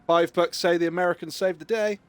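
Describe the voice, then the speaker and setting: A British man streams live, and his fairly high voice jumps into rambling jokes and rants. The sound is dry and close to the mic, with no room around it. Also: fairly even intonation, clear, resonant tone, dry recording. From United Kingdom. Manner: rambling, joking, quick to laugh. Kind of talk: casual chat while playing a game live.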